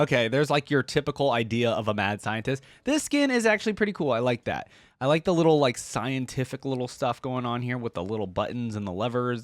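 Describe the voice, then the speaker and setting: A man hosting a reaction video, commenting casually in an expressive, animated way with frequent exclamations. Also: mid-range voice, no echo.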